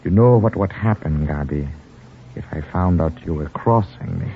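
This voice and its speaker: An actor playing a jealous, menacing German spy in a 1950s radio drama, intense and swinging between coaxing and threat. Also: male voice, deep voice, moderate pace, breathy edge. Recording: muffled 1950 radio recording with music.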